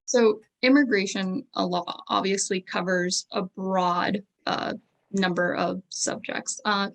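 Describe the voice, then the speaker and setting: A woman's voice: medium pitch, rough recording. A woman moderating a law panel, politely asking questions with small fillers.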